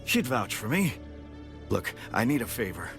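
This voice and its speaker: An actor playing a middle-aged retired soldier, down on his luck, who begs in a halting, stammering way. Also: medium pitch, big pitch swings, vocal fry, fairly bright tone, soft background music. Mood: wheedling.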